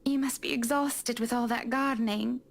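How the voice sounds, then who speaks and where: Man voicing a high, creaky, gentle wife. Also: steady tone, moderate pace, slight background noise. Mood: gentle, uncertain. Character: gentle, hesitant, polite wife.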